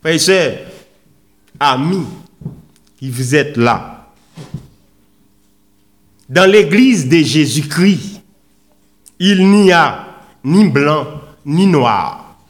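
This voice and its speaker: A man preaching a sermon in an oratorical, emphatic manner, with deliberate pauses and repeated phrases. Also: a little high, animated. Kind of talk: sermon with scripture readings and rhetorical questions.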